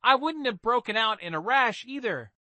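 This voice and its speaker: A man voicing a shrill, spiteful young noblewoman. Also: animated, normal speed, bright, forceful tone, no echo, full character voice. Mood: resentful. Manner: shrill angry outbursts. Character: petulant scheming young noble girl, sharp-tongued.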